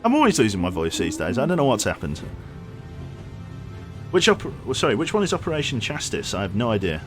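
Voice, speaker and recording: Guy streaming a game, kinda hoarse, swears a lot, bouncy pitch. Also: quick pace, over music. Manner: excitable, swears casually, talks to crew. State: losing his voice. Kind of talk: gameplay commentary.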